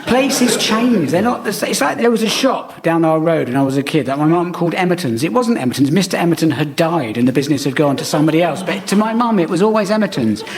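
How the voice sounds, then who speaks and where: High-voiced exasperated English man. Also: animated, fast talker, few pauses. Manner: exasperated ranting. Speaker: comedy panellist. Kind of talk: comic anecdote and opinion.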